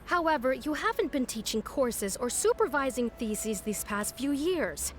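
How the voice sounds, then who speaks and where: A woman's voice: high voice, sing-song, measured pace, slight background noise. A woman playing a polite but exasperated academy official in a video game, formal and pressing in her delivery.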